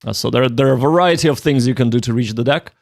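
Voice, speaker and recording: A man's voice: medium pitch, fast talker, vocal fry, no room sound, studio quality. A man livestreaming in a casual, somewhat hesitant way, often repeating his words as he goes. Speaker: livestreamer studying Japanese. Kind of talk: casual livestream chat with Japanese reading practice.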